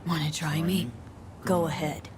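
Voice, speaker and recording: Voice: mid-range voice, level tone, measured pace, a little mellow, a little hiss or hum, natural voice. An actor playing a tough, streetwise mercenary in a video game, blunt and slangy yet a kindly mentor. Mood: confrontational. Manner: slangy, blunt, laid-back.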